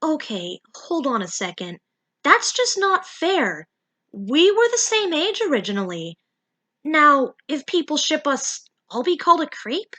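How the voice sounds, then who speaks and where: A woman's voice: sits high, big pitch swings, unhurried, pushed and bright, pitched above the performer's own voice, exaggerated voice. A woman voicing an indignant teenage female fox fairy in a story, with incredulous, whiny phrasing.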